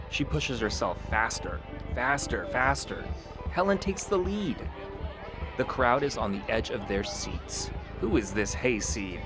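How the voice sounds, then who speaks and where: A man hosting a history podcast, reading scripted narration with dramatic, playful storytelling. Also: medium pitch. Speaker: podcast host.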